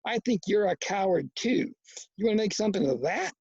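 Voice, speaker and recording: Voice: medium pitch, expressive, a little fast, vocal fry, a little mellow, breathy edge, no echo, lo-fi sound. A reader voicing a cocky, combative young boy from a novel, with brash, slangy, goading talk.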